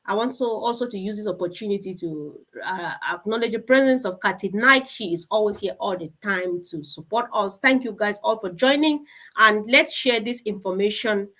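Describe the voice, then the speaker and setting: A woman's voice: medium pitch, moderate pace, fairly bright tone. A woman hosting a live online group discussion, speaking in an encouraging, effusively thankful manner. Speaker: host of an online team meeting.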